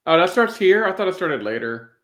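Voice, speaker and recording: A man's voice: high-pitched, sing-song, brisk, fairly bright tone. Man chatting casually in an echoey room. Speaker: podcast co-host. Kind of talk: casual chat. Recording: echoey, roomy sound.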